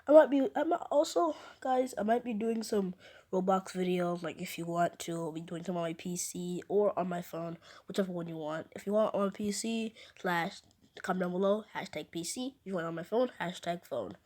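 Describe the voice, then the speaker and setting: Brief: a slightly low-voiced woman reacting to a video, rambly and congested from a cold. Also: moderate pace. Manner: rambling, many restarts, excited fun facts. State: has a cold, sore throat. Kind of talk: casual chat.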